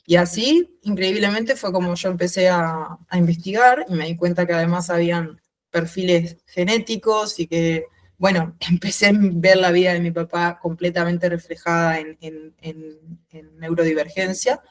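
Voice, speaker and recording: A woman in her thirties with a mixed Uruguayan and Spanish accent, speaking reflectively and haltingly as a guest in an informal interview. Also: on the low side, steady tone. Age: 30s. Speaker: podcast guest. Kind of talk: informal interview, personal account.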